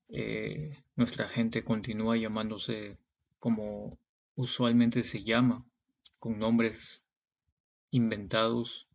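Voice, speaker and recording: A man teaches from scripture in a gravelly, creaky voice. He keeps a fairly flat, mid-pitched line as he reads verses and explains them, adding short check-in tags. The recording is dull and lo-fi. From Peru. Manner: didactic, punctuated with check-in tags like "okay" and "right". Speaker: preacher, Bible teacher. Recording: dull, lo-fi sound.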